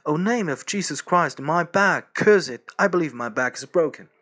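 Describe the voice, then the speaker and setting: A man voicing a starving, boisterous Russian man lying sick in a Paris attic, exclaiming loudly. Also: fairly high pitch, animated, normal speed, voice pushed up. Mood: groaning. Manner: groaning, exclamatory, swings to cheerful.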